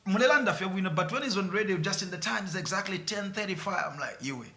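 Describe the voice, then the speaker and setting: A man's voice: high-pitched, expressive, fast talker, sharp tone, reverberant. A man with a Zambian accent, a radio presenter answering interview questions earnestly with some hesitation. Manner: earnest, mixes in local phrases, some hesitation.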